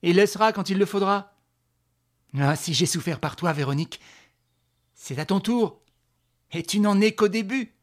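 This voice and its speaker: A vengeful woman villain from a dramatic novel, as a male narrator puts her on. The voice is husky and rasping. She speaks in jerky, measured bursts, full of menace. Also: medium pitch. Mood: bitter threat. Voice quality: hoarse, rasping. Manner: halting, jerky, scanning her words. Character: hate-filled withered blonde villainess, vengeful and menacing.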